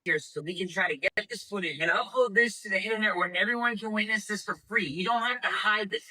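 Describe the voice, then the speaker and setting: A man narrating an excited vlog on location, emphatic and prone to swearing, recorded at a distance from the microphone. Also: high voice, quick pace.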